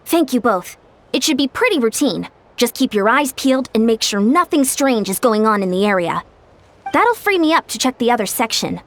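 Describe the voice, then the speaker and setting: A woman's voice: on the high side, sing-song, moderate pace. A woman voicing a friendly fitness coach who teases playfully and explains sensibly, in video game dialogue. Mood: earnest. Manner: playful teasing, then earnest explaining. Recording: game soundtrack music clearly behind.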